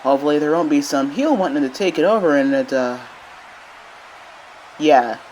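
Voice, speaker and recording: Cartoon CEO coconut played by a woman: chatty, rambling, exasperated fellow with lively swings in pitch. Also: moderate pace, slight background noise, rough recording. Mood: casual, rambling. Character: chatty, easily flustered male coconut wrestling boss. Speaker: wrestling CEO. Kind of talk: casual cartoon ceremony speech.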